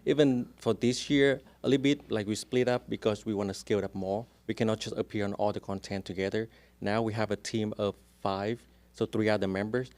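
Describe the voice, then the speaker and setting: A man's voice: lowish voice, steady tone, a little fast, hardly any pauses, a little mellow. Earnest man with a strong Vietnamese accent. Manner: earnest, plain-spoken, explaining step by step. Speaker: real estate agent, guest. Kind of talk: casual interview talk.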